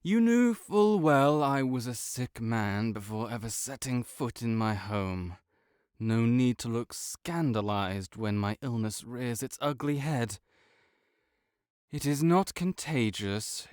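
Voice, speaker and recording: A man's voice: medium pitch, animated, takes it slowly, darkish tone, clear, resonant tone, no room sound, studio quality. A man playing a proud, sardonic invalid nobleman in an audio roleplay, speaking with disdainful, formal, old-fashioned diction. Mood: weary, defensive. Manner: haughty, archaic formal diction, disdainful drawl. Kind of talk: scripted audio roleplay monologue.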